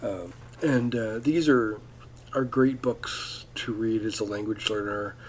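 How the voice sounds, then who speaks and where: A man's voice: on the low side, takes it slowly, a little hiss or hum, poor audio. A man hosting a casual solo podcast, talking in a loose, rambling way full of ums and restarts. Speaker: podcast host.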